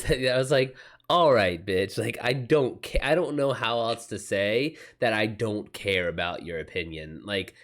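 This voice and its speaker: A man chatting informally as an author on a livestream, rambling in loose, slangy, sweary talk. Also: mid-range voice. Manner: rambling, retells exchanges in quoted back-and-forth. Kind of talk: casual livestream chat with anecdotes.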